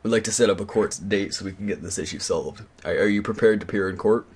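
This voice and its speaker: A man playing a stern, accusing attorney on a prank call, questioning in an official but halting way that repeats words. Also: lowish voice, husky. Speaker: prank caller. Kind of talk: interrogation on a prank phone call.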